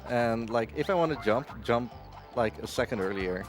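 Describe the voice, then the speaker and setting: A man with an average-pitched voice talks casually through his own speedrun. He is halting, as if busy playing, with faint music behind him. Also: expressive. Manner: halting, distracted while playing. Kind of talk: casual live gameplay commentary. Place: live gaming marathon at a hotel event. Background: faint game music.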